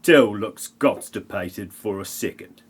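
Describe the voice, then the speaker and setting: Slow, deadpan male narrator. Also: fairly low pitch, breathy edge. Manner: deadpan, mock-grand. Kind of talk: comic scripted narration of parody audio play.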